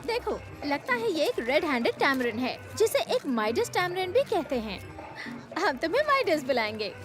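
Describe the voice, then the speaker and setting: An actress playing a sharp, feisty young woman in a Hindi cartoon dub, teasing and assertive in manner. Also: high-pitched, sing-song, moderate pace. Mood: curious. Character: sharp, feisty, knowledgeable young female companion. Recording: music behind the voice.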